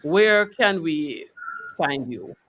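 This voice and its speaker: A woman's voice: deep voice, expressive, very slow, fairly bright tone, a little hiss or hum. Woman hosting a radio interview. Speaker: host of a faith and finance radio show. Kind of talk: conversational interview.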